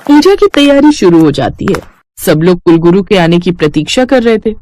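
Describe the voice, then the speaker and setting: A woman's voice: lowish voice, big pitch swings, moderate pace, warm tone, dry recording. A woman narrating a scripted moral bedtime story.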